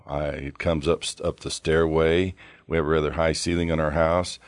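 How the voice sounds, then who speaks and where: Very deep-voiced male radio preacher. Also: flat delivery, moderate pace, no echo. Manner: earnest, preaching to listeners. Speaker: radio show host.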